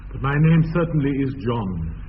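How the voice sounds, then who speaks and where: An actor playing a formal, easily flustered young gentleman in a classic radio comedy. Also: mid-range voice, very animated, normal speed, close to an everyday voice. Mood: earnest. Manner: formal, indignant. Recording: tinny radio broadcast with clear hiss.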